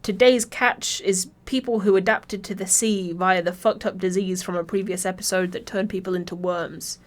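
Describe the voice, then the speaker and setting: A woman's voice: medium pitch, fairly even intonation, moderate pace. Wry English woman narrating an essay. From Birmingham, England. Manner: wry, informal, sweary. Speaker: video essayist.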